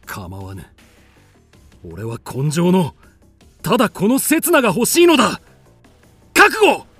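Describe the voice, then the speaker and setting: A man's voice: sits high, wildly swooping pitch, takes it slowly, a bit bright, husky, dry recording, soft background music, full character voice. A man playing a reckless, passionate samurai in a radio drama, speaking intense, archaic warrior lines. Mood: bloodthirsty, fierce. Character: reckless, passionate young samurai in forbidden love.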